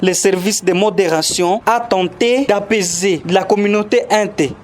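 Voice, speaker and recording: A male reporter narrates a news item in a Congolese accent. His voice sits high for a man, over faint background noise. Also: normal speed.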